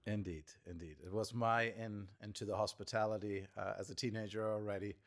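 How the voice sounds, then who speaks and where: A middle-aged man from Germany, a tour guide answering interview questions in a measured way with frequent fillers. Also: lowish voice, steady tone. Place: indoor shop or venue.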